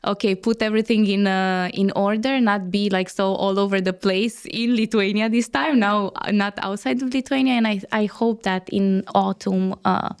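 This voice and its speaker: Smooth-voiced laughing woman guest. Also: mid-range voice, steady tone. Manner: laughs often, halting with fillers. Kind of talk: casual interview chat.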